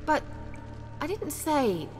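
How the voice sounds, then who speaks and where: A woman's voice: high-pitched, big pitch swings, takes it slowly, heavily acted. A woman acting a self-assured, cultured sorceress in a video game, articulate and theatrical, with a slyly teasing, coy manner. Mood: evasive, explaining. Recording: long reverb, music behind the dialogue.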